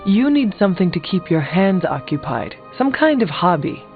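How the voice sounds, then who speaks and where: An actor playing a solemn, blunt, ancient resurrected warrior in a video game, speaking formally and calmly. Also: male voice, sits high. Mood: calm, dry. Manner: formal, measured, few contractions. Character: centuries-old resurrected male warrior, solemn, blunt, calm. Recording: phone-like thin audio, music behind.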